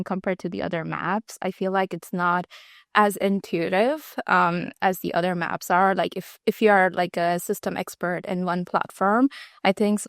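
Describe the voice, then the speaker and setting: A woman's voice: on the low side, gravelly, no room sound, studio quality. A young adult woman co-hosting a casual work chat, hesitant with lots of ums and likes. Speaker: co-host, marketing ops.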